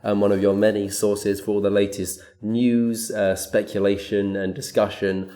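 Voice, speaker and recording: Low, mellow man, gushing and stammering. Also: flat delivery, moderate pace, echoey room. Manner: gushing, stammering, repeats himself. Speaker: podcast co-host. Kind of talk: mock-earnest fan podcast banter.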